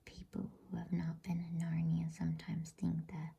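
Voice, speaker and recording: A woman reads a storybook aloud as ASMR in a hushed, unhurried, breathy whisper meant to soothe. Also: deep voice, monotone. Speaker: reader of bedtime stories.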